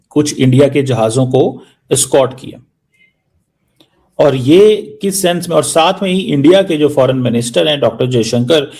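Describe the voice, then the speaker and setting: A man giving unscripted news analysis, mixing in English, halting and repeating phrases for emphasis. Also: mid-range voice, gravelly, a little mellow. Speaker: news commentator.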